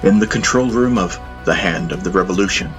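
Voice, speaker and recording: A man narrating a fantasy audiobook in the first person, with solemn, dramatic storytelling and a husky voice. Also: deep voice, fairly quick, over music.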